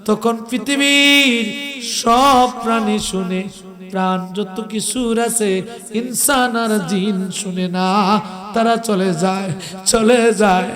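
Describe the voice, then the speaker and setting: A man's voice: high voice, steady tone, a bit bright, faint music behind. A man delivering an impassioned Islamic sermon, telling it dramatically and emphatically, with melodic, chant-like phrasing. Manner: emphatic, slow, breaks into melodic chant and drawn-out cries. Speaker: Islamic preacher.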